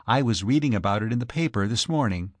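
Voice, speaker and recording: A man's voice: on the low side, expressive, quick pace, no room sound, clean studio sound. A man voicing an eager, urgent young married woman who coaxes earnestly in an audiobook. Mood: concerned. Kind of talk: audiobook character voice.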